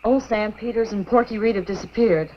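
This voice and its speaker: A woman's voice: medium pitch, brisk, close to an everyday voice. An actress playing an anxious young woman, a doctor's daughter, in a 1950s B-movie. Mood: worried. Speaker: film actress. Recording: muffled, noisy old 1950s film soundtrack.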